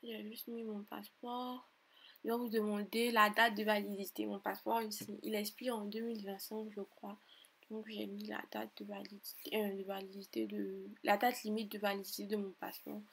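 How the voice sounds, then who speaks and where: A woman's voice: medium pitch, flat delivery, moderate pace, husky. A young woman from Côte d'Ivoire with an Ivorian French accent, talking through an online form in a chatty, repetitive voice-over tutorial. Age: 20s. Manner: chatty, repeats herself, grows exasperated and emphatic. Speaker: tutorial vlogger. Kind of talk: screen-recorded voice-over tutorial.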